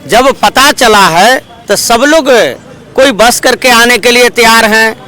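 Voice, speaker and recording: A man's voice, very high and forceful. He is a campaigning monk answering in a street interview, repeating himself and running through lists. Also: slow. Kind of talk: spoken report in a street interview.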